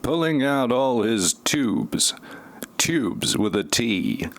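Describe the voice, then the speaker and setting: A man narrating a parody audio play in a deadpan, pompous, mock-serious way. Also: mid-range voice, drawn-out words, gravelly.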